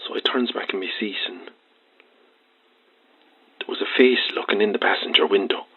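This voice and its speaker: Frightened Irish trucker on a tinny phone. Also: male voice, lowish voice, breathy edge. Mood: haunted, hushed. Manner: halting, stammering, rambling colloquial storytelling. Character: frightened, agitated male truck driver calling late-night radio. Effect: phone line. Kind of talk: audio drama acting. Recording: muffled, tinny phone line.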